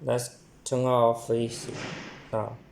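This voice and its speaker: A man giving a hands-on product demonstration in halting, short phrases as he reads items out. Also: mid-range voice, completely flat delivery, vocal fry, slight background noise, low-quality recording.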